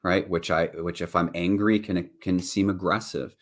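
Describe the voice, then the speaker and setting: A man with a very deep voice co-hosting a podcast, talking earnestly and reflectively, peppering his points with "right?" and "like". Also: steady tone, moderate pace. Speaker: podcast co-host. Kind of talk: casual earnest discussion.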